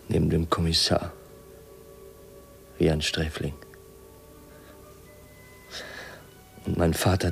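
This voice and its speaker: A man's voice: deep voice, gravelly. An actor playing an earnest, bitterly disillusioned young man in a 1950s German radio drama. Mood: desolate, subdued. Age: young adult. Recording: 1950s radio recording, faint noise, clicks.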